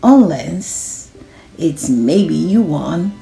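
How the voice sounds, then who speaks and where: A woman hosting a children's read-aloud, animated and encouraging, speaking directly to her young listeners with little check-in questions. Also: low voice, very slow, vocal fry, soft background music.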